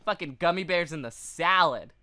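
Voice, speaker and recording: A man's voice: fairly high pitch, moderate pace, bright, forceful tone. A man co-hosting a comedy podcast, throwing in casual wisecracks and puns as banter. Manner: wisecracking, punning interjections. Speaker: podcast co-host.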